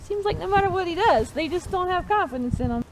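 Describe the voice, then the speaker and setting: Woman, high voice, interactive picture-book read-aloud for kids, encouraging; slightly noisy recording. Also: expressive, quick pace. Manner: encouraging, chanting refrains for listeners to repeat. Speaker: storytime host reading a picture book to children.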